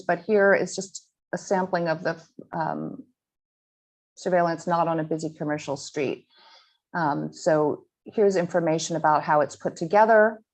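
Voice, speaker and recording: A woman giving a slide presentation to a school audience, earnest and halting, with frequent ums and restarts. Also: lowish voice. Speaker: guest speaker presenting slides.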